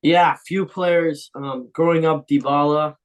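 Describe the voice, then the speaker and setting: A man's voice: a little high, steady tone, measured pace. Easygoing young man with frequent ums. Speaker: professional footballer guest. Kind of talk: casual interview answers.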